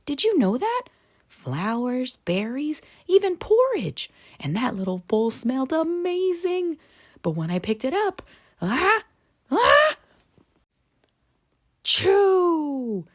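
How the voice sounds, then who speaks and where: A woman's voice: sits high, no echo, studio quality, pitched above the performer's own voice, exaggerated voice. A woman voicing a cheerful, naive, chatty little male snowman who tells his storybook tale to children with wide-eyed exclamations. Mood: delighted. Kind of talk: animated children's storybook read-aloud.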